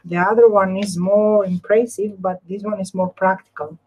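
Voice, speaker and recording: A woman's voice: medium pitch, fairly even intonation, normal speed, mellow, husky, poor audio. A woman with a Greek accent hosting a casual live craft demonstration, repeating and rephrasing herself as she explains and chats. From Greece. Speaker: craft tutorial host.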